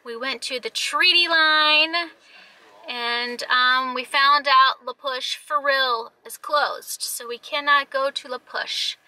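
A woman's voice: sits high, steady tone, slow, pushed and bright, dry recording, low-quality recording. A woman in her thirties hosting a casual family travel vlog, talking to a camera held at arm's length. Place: inside a car and outdoors. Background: music.

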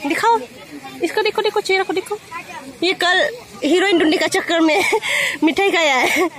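A woman's voice: extremely high pitch, normal speed, a bit bright. A young woman narrating a casual vlog from a rocky riverbank outdoors, chatting along as she films on a handheld phone. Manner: chatty, mixes in English words. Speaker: vlogger. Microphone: handheld phone camera.

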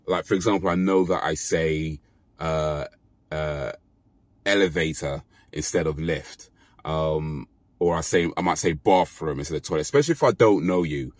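A British man in his thirties talking casually to his phone in a parked car, relaxed and rambling with fillers and self-corrections. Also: extremely low pitch, no echo. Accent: British English. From Britain. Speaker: vlogger. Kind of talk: casual talk to camera. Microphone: phone camera held close.

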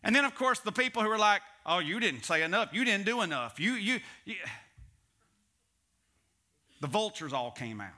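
A middle-aged male pastor preaching a sermon on a church stage, animated, swinging between loud emphasis and hushed asides. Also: sits high, moderate pace, pauses often.

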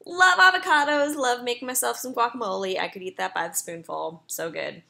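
Bright, chatty young woman. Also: a little high, brisk, vocal fry. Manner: chatty and upbeat, with filler ums and uhs. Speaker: personal trainer. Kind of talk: casual voice-over walkthrough.

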